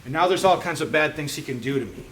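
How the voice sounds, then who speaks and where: A man's voice: fairly high pitch, lively intonation, fast, fairly bright tone, slight background noise. A middle-aged man, a jiu-jitsu instructor, telling stories casually and then teaching techniques on the mat in a martial arts gym, often checking in with "okay?" and "right?". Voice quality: chatty, self-deprecating, frequent fillers like "okay?" and "right?".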